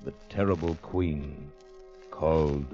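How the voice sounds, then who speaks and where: A male radio announcer with an extremely deep voice delivers a dramatic, ominous introduction. The vintage recording is muffled, with faint music underneath. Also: slow pace, warm tone.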